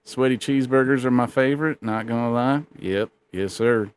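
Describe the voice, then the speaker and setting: A man's voice: mid-range voice, normal speed, smooth. A man co-hosting a live stream in casual, joking, profane talk, laughing often. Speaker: podcast co-host.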